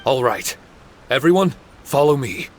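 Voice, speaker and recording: An earnest spirit guide from a game, mid-pitched and musing, a little forgetful. Clear ambient noise sits behind the voice. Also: male voice, big pitch swings, a little slow. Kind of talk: video game voice acting.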